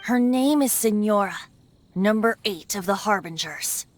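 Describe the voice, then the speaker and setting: A woman's voice: medium pitch, unhurried, a little hiss or hum, natural voice. An actress voicing a playful, carefree young male bard who is secretly a god, with a lilting, teasing way of talking. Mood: explaining. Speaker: voice actor in a game dub.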